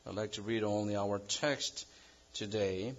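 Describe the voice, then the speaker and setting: A man from South Korea with a Korean accent, preaching a sermon as pastor in an earnest, measured way with halting restarts. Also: fairly low pitch, steady tone. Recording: dull lo-fi sound.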